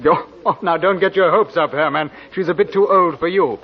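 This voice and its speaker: An actor playing a teasing young Russian gentleman, arch and wry, in a 1940s radio drama. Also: fairly high pitch, brisk, lightly acted. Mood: wry, amused. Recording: muffled vintage 1940s radio recording.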